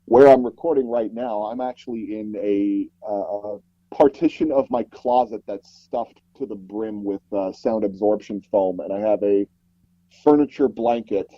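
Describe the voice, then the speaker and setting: A man with a fairly low voice chats casually as an interview guest. He rambles through his stories, restarting phrases as he goes. The sound is very dry, close and a little dull, as from a foam-padded closet booth. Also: moderate pace, no echo. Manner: rambling, animated storytelling with frequent "like" and repeated false starts. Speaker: interview guest, sound designer and audio drama producer.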